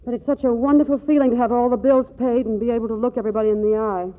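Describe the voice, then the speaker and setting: Restless young wife played by an actress, high-pitched, flustered, affectionate but fed up; vintage radio comedy, muffled old recording. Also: female voice, steady tone, racing, lightly acted. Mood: earnest, apologetic. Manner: flustered, fluttery, stammering repeats. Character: restless, exasperated yet loving young wife. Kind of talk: 1940s radio comedy acting. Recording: dull 1940s radio broadcast recording.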